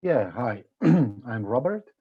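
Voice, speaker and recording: A man's voice: medium pitch, big pitch swings, takes it slowly, dark tone. A man, speaking hesitantly and thoughtfully into an earphone mic on a video call from a dim room. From Slovenia. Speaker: participant. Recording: tinny dry call audio.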